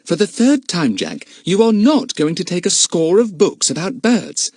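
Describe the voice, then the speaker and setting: A man playing a firm, motherly aunt with a raised, lively voice. She speaks briskly and sensibly, keeping order among the children, in a dry recording. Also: high voice, slightly rough, no room sound, pitched above the performer's own voice, heavily acted.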